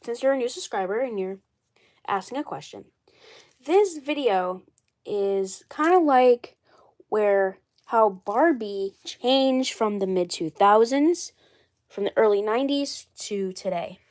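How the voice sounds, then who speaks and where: A woman in her twenties chatting casually on a livestream, hoarse and froggy from a cold, rambling and repeating herself. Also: mid-range voice, expressive, takes it slowly, a little mellow. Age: 20s. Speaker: doll collector livestreaming.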